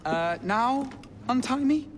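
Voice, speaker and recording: An actor playing a cheeky, wheedling working-class London rogue with a Cockney accent in a game cutscene, chatty and mock-courteous. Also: male voice, extremely high pitch, expressive, slow, big-room sound, noisy background, exaggerated voice. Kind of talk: dramatic video game cutscene acting.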